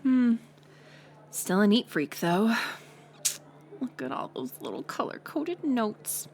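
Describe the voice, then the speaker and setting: A young woman playing a mocking college bully in an audio roleplay, sarcastic and teasing, with a hidden softer side. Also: fairly high pitch, very animated, slow pace, a little hiss or hum, exaggerated voice. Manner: sarcastic, teasing, scoffing with sighs and breathy asides. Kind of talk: audio roleplay acting, intimate one-sided dialogue.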